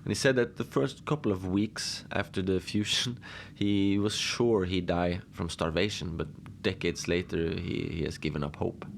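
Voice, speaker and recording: A man playing a deadpan lone adventurer who tells his odd tales slowly and conversationally, rambling with halting asides, in a cozy horror audio drama. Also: sits low, gravelly, a little hiss or hum. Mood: deadpan, musing.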